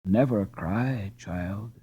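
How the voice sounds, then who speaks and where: A man voicing a hardworking poor mother who speaks calmly and in hushed tones. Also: fairly low pitch, very slow, husky, dull audio, pitched below the performer's own voice, heavily acted. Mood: soothing. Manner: calm, hushed.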